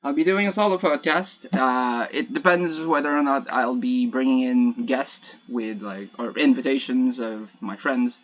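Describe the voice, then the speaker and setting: A young man whose first language is Ilocano rambles in English over his gameplay. He stumbles, restarts and corrects himself as he goes. His voice sits at a middle pitch, and the home mic sounds a little dull and lo-fi. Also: a little slow, few pauses. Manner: hesitant, many restarts and self-corrections, laughs at himself. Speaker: solo podcaster playing a block-building game. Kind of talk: casual rambling commentary while gaming.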